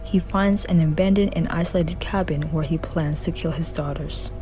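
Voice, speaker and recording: A woman's voice: sits low, moderate pace, over music. A woman narrating a student radio drama in a careful, plain reading with slight grammar slips.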